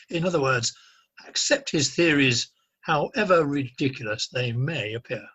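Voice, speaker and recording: A man's voice: medium pitch, animated, measured pace, sharp tone, slightly rough, muffled recording, full character voice. A man playing a fussy, self-important doctor in an amateur comic radio play, with pompous theatrical delivery. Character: fussy, self-important mad-doctor, echoing his colleague. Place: home, recorded over a video call.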